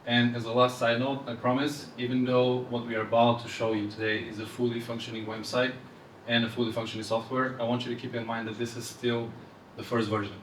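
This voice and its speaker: Young male presenter, Eastern European accent, flat intonation, live event delivery, picked up from afar in a roomy space. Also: mid-range voice, fairly quick. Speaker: fintech presenter. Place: indoor event room, speaking to audience. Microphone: handheld microphone heard from far off. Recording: roomy, distant event-hall sound with noise.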